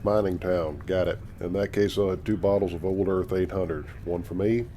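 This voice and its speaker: Low-voiced man as a calm space adventurer. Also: moderate pace, slight background noise. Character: calm, courteous male space adventurer and pilot. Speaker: gamer voice-acting his player character. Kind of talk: scripted game role-play dialogue.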